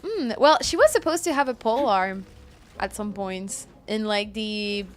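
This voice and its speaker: A woman's voice: medium pitch, animated, measured pace. A woman livestreaming a game, chatting casually with viewers and reacting in a gushing, exclamatory way. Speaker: livestream gamer. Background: game music.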